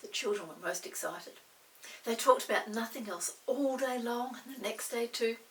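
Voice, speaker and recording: A woman's voice: on the high side, normal speed, distant mic, poor audio. A woman reading a children's story aloud expressively, lingering on words for emphasis.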